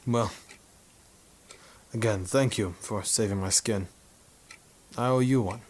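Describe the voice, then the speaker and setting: A man's voice: on the low side, slow pace, darkish tone. A man playing a cocky war photographer turned sleuth in a hard-boiled 1950s noir radio drama, with a dry, wisecracking delivery. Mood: easygoing, wry.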